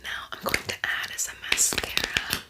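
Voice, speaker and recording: Whispering woman in ASMR style. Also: a little slow. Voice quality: breathy, unvoiced whisper. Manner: repeats words softly. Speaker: ASMR host doing a makeup tutorial.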